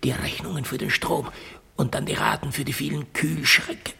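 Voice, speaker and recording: Dying gangster, broken husky whisper. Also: male voice, medium pitch, pushed and bright, heavily acted. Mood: anguished, gasping. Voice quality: husky, creaky, breathy whispering. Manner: halting, gasping, repeating himself. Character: dying male gangster, self-pitying and remorseful, confessing. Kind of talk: 1950s German radio drama acting. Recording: 1950s radio recording.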